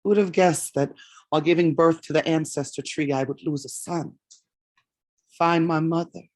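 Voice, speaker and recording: A woman's voice: low-pitched, a little mellow, no room sound, studio quality, close to an everyday voice. A woman in a staged play reading, playing an earnest grandmother playwright who addresses her family's ancestors.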